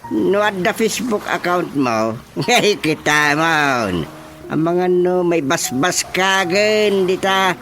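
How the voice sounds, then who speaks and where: A man playing a scolding, teasing village chairman in an Ilocano radio comedy, with an Ilocano accent and a drawn-out, exclamatory delivery. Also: high-pitched, animated, fairly bright tone, soft background music, exaggerated voice.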